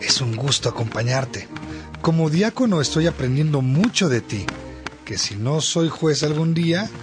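A man's voice: on the high side, expressive, normal speed, close to an everyday voice. A man acting as an earnest, admiring deacon and loyal companion in a radio drama, speaking respectfully. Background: music.

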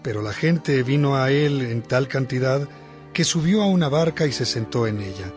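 A man plays a cleric solemnly reading scripture in a radio play. The voice is medium-pitched, with faint music underneath. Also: lively intonation, normal speed, darkish tone, close to an everyday voice.

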